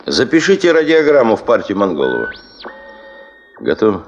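An actor playing a middle-aged, exacting expedition chief in a radio play, speaking with measured authority. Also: male voice, fairly low pitch, wildly swooping pitch, muffled recording, very noisy. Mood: commanding. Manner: measured, authoritative, clipped orders. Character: commanding, exacting male chief of a gold-prospecting expedition.

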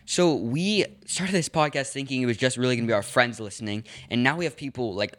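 A teenage boy from Los Angeles co-hosting a podcast in casual chat, quick and chatty. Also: mid-range voice, a bit bright. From Los Angeles, USA. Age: teens. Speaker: podcast co-host.

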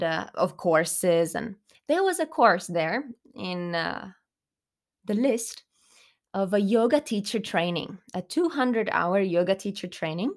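A young woman co-hosting a podcast, telling her story in a thoughtful, unhurried way with many fillers and restarts. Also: mid-range voice. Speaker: podcast co-host. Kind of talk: reflective personal storytelling.

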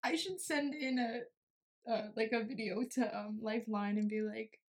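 A woman's voice: a little high, fairly even intonation, unhurried. A woman in her early thirties hosting a podcast in a playful, goofy casual chat. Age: 30s. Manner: playful, goofy, laughs often. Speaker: podcast host.

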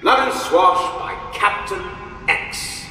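A man playing the gruff, bossy ghost of a sea captain in an audio drama, blustering and sardonic with nautical oaths. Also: sits high, animated, takes it slowly, pushed and bright, faint music behind, full character voice. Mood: grandly scheming. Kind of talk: theatrical audio drama acting. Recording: echoey hall, distant mic, noisy.

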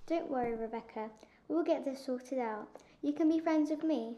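Woman as a high, soft-toned plucky orphan girl. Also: moderate pace, dull audio, close to an everyday voice. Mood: reassuring. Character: friendly, plucky young orphan girl, newcomer.

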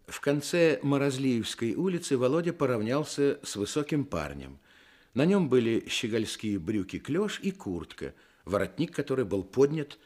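A male narrator tells the story of a radio play in a mid-pitched voice. His scripted delivery moves in wide, animated swings of intonation.

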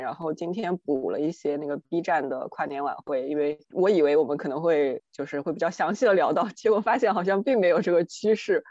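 A woman's voice: fairly low pitch, darkish tone, no room sound. A woman co-hosting a podcast, telling personal anecdotes in a casual, exasperated, rambling way. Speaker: podcast co-host. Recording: dry, slightly dull lo-fi audio.

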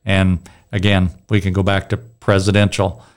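A man's voice: deep voice, completely flat delivery, normal speed, clean tone. A middle-aged man with a strong voice leading a casual Bible discussion, rambling and restarting his sentences. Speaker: Bible study leader.

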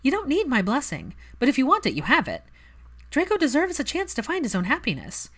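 A woman voicing a curious, supportive young woman in an audiobook, with a gentle but direct manner. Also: sits high, huge pitch swings, fairly quick, pitched above the performer's own voice, heavily acted. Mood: reassuring.

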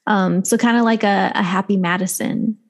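A woman's voice: medium pitch, steady tone, moderate pace. A woman with a blended West Coast and Midwest American accent, chatting casually and informally as a podcast guest. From Ohio, US Midwest. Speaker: comedian and actor, podcast guest. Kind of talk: casual chat.

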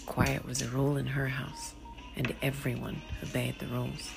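A woman with a low, gravelly voice reads a short story over clear background music. She is very unhurried and deliberate, with a hushed, dramatic delivery that often slips toward a whisper. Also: little pitch movement, rough recording. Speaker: author reading own short story. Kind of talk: slow, dramatic literary storytelling. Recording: music behind the voice.

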